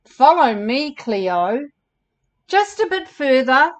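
A woman's voice: high-pitched, very animated, slow, pitched above the performer's own voice, full character voice. A woman voicing an earnest, insecure male camel with big plans in a children's storybook, plaintive and eager.

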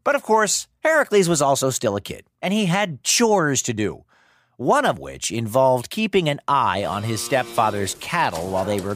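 A man telling a scripted story for children in a conversational, dramatic way with wry, casual asides. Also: medium pitch. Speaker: storyteller, podcast host.

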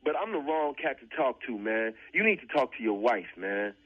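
A man's voice: mid-range voice, sing-song, moderate pace. A man arguing informally on the phone, exasperated and repeating himself. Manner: exasperated, repetitive. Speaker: prank call recipient. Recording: muffled phone line, radio broadcast.

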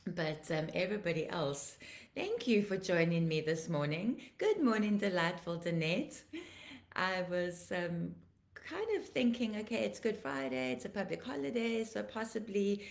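Woman pastor, 50s, croaky and tired, effusive greetings, fervent repeated declarations, home webcam stream. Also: medium pitch, sing-song, normal speed, roomy sound. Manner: effusive, greeting viewers by name. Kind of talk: live-stream greetings and prayer. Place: living room at home, indoors. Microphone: laptop webcam, video call framing.

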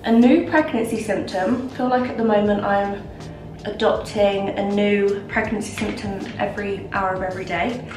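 A woman's voice: medium pitch, steady tone, moderate pace, music in the background. Nasal, congested young woman chatting. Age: young adult. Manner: chatty, gushing, many fillers. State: out of breath. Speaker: lifestyle vlogger. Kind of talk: casual makeup tutorial chat. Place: home bathroom, indoors. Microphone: camera a little way off. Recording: roomy bathroom echo, distant mic, background hiss.

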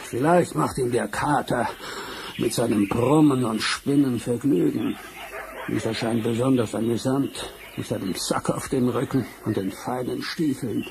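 An actor playing an easygoing, amused palace guard in a fairy-tale radio play. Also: male voice, mid-range voice, unhurried, creaky. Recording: noisy old vinyl record rip.

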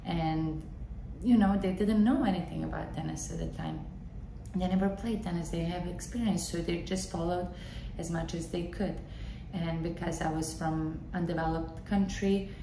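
Thirties woman host from Bosnia, lowish voice, solo podcast, earnest and filler-heavy; echoey room, audible background noise. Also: steady tone, normal speed, vocal fry. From Bosnia and Herzegovina. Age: 30s. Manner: earnest storytelling with frequent fillers. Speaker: podcast host and tennis coach. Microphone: large desk condenser mic in front.

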